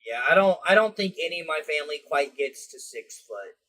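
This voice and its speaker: A man's voice: on the high side, lively intonation, a little fast, pushed and bright, poor audio. A man with a Midwestern American accent hosting a casual late-night chat stream, blunt and joking. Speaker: streamer host.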